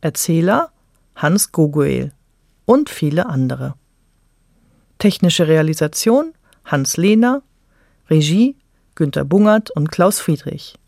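A radio announcer with a standard German accent from Germany, reading a scripted announcement in a measured, clearly articulated way, with a voice of unclear gender. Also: medium pitch, big pitch swings, a little mellow, no room sound, clean studio sound.

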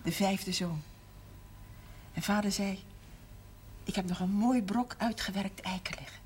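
An actress playing a middle-aged, emotional but steadfast mother in a 1970s TV drama, speaking in measured, earnest phrases. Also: mid-range voice, darkish tone, natural voice. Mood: tender recollection. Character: steadfast, emotional mother of a large Limburg family. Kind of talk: 1970s television drama acting. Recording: noisy old TV soundtrack.

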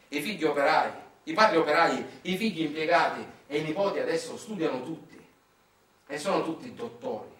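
A man's voice: on the high side, fairly bright tone. A man with a Sicilian accent performing a theatrical narrative monologue with dramatic emphasis, recorded from a distance in an echoey room. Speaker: stage monologist. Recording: echoey room, far from mic.

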